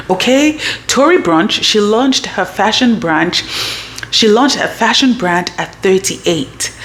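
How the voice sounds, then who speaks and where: A woman's voice: medium pitch, slight background noise. A woman in her thirties hosting a motivational business coaching talk, emphatic and direct, often checking in with "okay?". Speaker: business coach and channel host.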